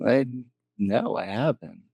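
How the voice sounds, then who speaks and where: A man's voice: on the low side, expressive, slow pace, vocal fry, darkish tone, no room sound, heavily acted. A man voicing a coy, teasing old grandmother who trades in information, in a live tabletop game.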